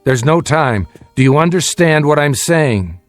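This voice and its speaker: Radio drama lead: American dad, secretive and urgent, widely varied pitch, music underneath. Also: male voice, expressive, a little slow, no room sound. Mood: hushed urgency. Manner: commanding, guarded, urgent. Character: tense secretive family father plotting an escape.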